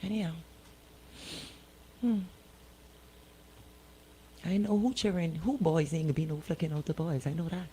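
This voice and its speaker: Incredulous woman host, lower-voiced, noisy background. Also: normal speed, long pauses, warm tone. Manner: incredulous, repeats words and questions emphatically. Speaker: radio show host. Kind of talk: casual live talk, questioning listeners.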